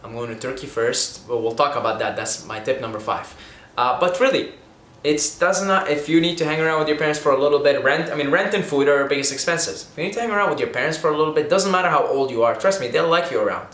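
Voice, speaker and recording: A man's voice: fairly high pitch, quick pace, hardly any pauses, fairly bright tone. Earnest young man in an echoey room. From Syria. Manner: rambling and earnest, many restarts and "okay?". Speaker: vlogger giving money tips. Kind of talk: casual talk to camera.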